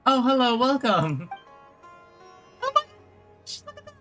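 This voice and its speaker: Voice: high voice, wildly swooping pitch, a little slow, music in the background. A game streamer giving casual, gushing, exclamatory commentary while playing.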